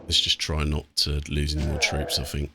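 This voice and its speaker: A man's voice: rumbling low, completely flat delivery, moderate pace, gravelly, noisy background. A British man giving casual gameplay commentary with blokey, sweary banter. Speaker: let's play host. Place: small warm room indoors. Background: game music.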